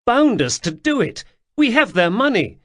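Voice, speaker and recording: A man voicing a high, strained young nobleman. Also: big pitch swings, no room sound, studio quality, exaggerated voice. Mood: protesting. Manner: pleading, quick to break into shouting. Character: desperate young noble man, anxious and proud.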